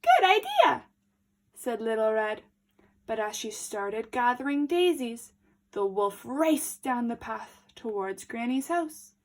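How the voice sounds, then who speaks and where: A woman storyteller reading aloud to children, expressive and deliberate, with dramatic emphasis. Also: fairly high pitch.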